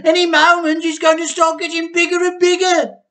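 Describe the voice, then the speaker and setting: Man performing a storybook father, excitable, impatient, booming, forceful, high shouts. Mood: excited. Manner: booming, exclamatory, drawn-out shouts.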